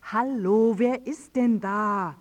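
A woman at home answering the telephone in a radio drama. She speaks slowly at a medium pitch, some way off from the microphone. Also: highs cut off. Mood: puzzled, annoyed. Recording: distant from the microphone.

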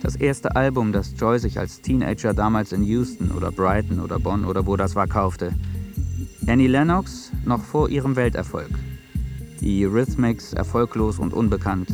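An actor playing a film-crew narrator, reading scene directions in a German radio play. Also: male voice, fairly low pitch, normal speed, creaky, over music. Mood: detached.

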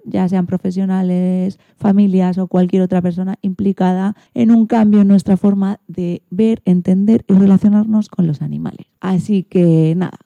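Female co-host, very mellow with noticeable fry, chatty and informal, dry but slightly dull lo-fi sound. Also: medium pitch, dry recording. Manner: chatty, colloquial, addresses listeners directly. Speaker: radio show co-host. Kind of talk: casual informal radio presenting.

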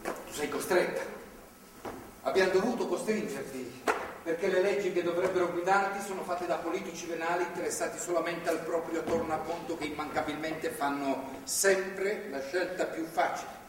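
An actor playing a stern, moralizing religious zealot who holds a woman captive, in a deliberate, authoritarian stage delivery. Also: fairly high pitch, fairly even intonation, close to an everyday voice. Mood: solemn preaching. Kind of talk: live stage drama acting. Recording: distant, noisy, echoey theatre hall recording.

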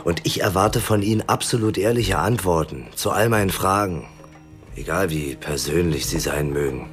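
An actor playing a blunt, hard-drinking, irritable private detective in a German radio drama, talking in a gruff, sardonic, colloquial way. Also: male voice, low-pitched, normal speed, close to an everyday voice. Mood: probing, matter-of-fact. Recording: music behind the voice.

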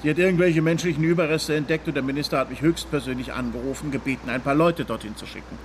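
An actor playing a bullying, ambitious police inspector in a radio drama, condescending, brusque and blunt. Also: male voice, a little high, fast talker, slight background noise, natural voice. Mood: boastful, brusque.

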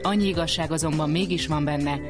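A woman's voice: low-pitched, steady tone, music in the background. Woman presenting a scripted radio programme. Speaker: radio host.

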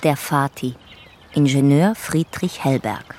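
A woman narrating a radio drama from a script in a measured, matter-of-fact manner. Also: rumbling low, darkish tone, a little hiss or hum.

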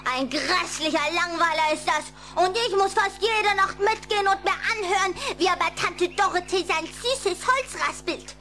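High-voiced little vampire girl. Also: normal speed, hardly any pauses, faint music behind. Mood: exasperated. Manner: expressive, giggly, dramatic sighing. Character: excitable little vampire girl, affectionate and curious. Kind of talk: German children's audio drama acting.

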